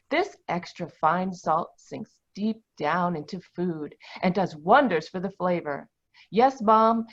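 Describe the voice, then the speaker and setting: A woman announcer reading a 1940s-style radio commercial with salesy delivery, on dull lo-fi audio. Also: mid-range voice. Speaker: advertisement announcer.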